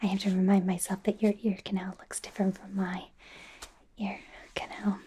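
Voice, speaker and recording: A woman voicing a nervous, gentle female werewolf doctor in a soft, breathy whisper, hesitant and reassuring, for an ASMR exam roleplay. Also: medium pitch, little pitch movement, normal speed, slight background noise, close to an everyday voice. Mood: gentle, attentive. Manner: hesitant, self-correcting, giggly, reassuring.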